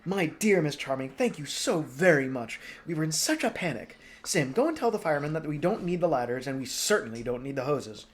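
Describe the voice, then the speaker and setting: A man voicing a gracious but commanding princess who gives crisp orders. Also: a little high, big pitch swings, brisk, few pauses. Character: commanding middle-aged royal princess.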